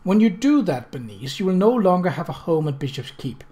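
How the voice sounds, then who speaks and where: An icy, commanding woman from a storybook, acted out by a man reading aloud. She speaks with bleak emphasis and tightly controlled fury, in a slightly raised pitch. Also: very animated, fairly quick, dark tone. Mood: quiet, controlled.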